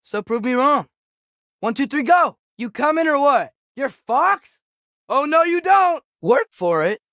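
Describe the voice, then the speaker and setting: A man's voice: very high voice, very animated, unhurried, pushed and bright, no room sound, clean studio sound, full character voice. A young male actor playing an earnest, curious, impulsive teenage boy in a Norse myth video game, speaking naturally with a hesitant, boyish manner. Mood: playful, competitive.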